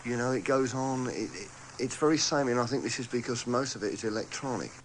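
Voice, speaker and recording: A man's voice: medium pitch, fast talker, slight background noise. A man giving casual interview answers, stammering, repeating himself and groping for words.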